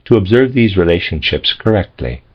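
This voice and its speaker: A man reading a philosophical text as scripted narration, in measured, deliberate phrases with pauses. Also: sits low, sing-song, breathy edge. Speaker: audiobook reader. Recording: dull, lo-fi audio.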